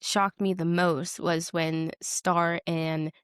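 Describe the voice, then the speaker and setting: A woman's voice: fairly low pitch, level tone, takes it slowly, clear, resonant tone, no room sound, studio quality. A woman co-hosting a podcast, talking about TV in a blunt, informal way with emphatic slang. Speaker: podcast co-host. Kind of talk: casual TV-review chat.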